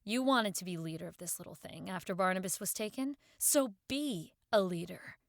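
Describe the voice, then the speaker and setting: A woman's voice: medium pitch, lively intonation, normal speed, creaky, no echo, studio quality. A woman playing a tough, no-nonsense gang member in an audio drama, blunt, exasperated and scolding.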